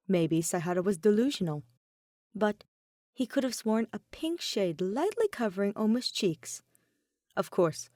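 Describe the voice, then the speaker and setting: A woman reads a story as narrator. Her pitch moves in a lively way around a middle register, and the sound is close and dry. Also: dry recording. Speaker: podfic narrator. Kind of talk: scripted fan fiction narration.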